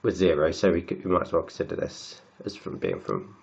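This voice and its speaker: A man with a cold, croaky and sniffly, working slowly through textbook maths and often repeating words as he writes. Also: deep voice, big pitch swings, darkish tone, dull audio. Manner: halting, repeats words while writing. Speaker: maths tutor.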